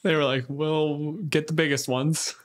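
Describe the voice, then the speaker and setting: A man's voice: a little high, fairly even intonation, measured pace. A man from Canada co-hosting a podcast, explaining and bantering casually. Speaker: podcast co-host.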